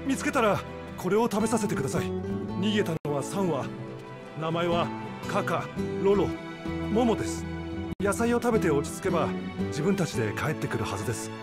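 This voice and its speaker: A soft-hearted young ranch hand speaks politely in a slightly high voice. He sounds fretful and courteous. Loud game music plays behind him. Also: sing-song, brisk, long pauses. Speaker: stable hand. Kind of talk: game cutscene voice acting.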